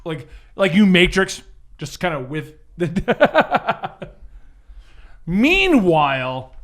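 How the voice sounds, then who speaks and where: High-voiced man running a tabletop game. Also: very animated, drawn-out words, a bit bright. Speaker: game master. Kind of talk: casual tabletop game narration.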